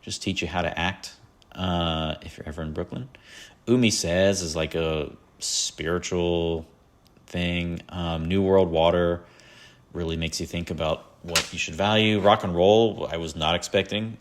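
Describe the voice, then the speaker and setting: A man's voice: low voice, steady tone, measured pace. A man hosting a solo podcast, chatting casually and rambling about his favorite albums with lots of fillers and self-deprecating asides. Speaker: podcast host.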